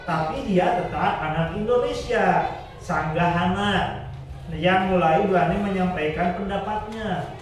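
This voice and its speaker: A man's voice: sits high, slight background noise. A male teacher reading a children's story aloud to his class and stopping to quiz them. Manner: checks the class with questions between story parts. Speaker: primary school teacher. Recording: echoey classroom, far from the mic.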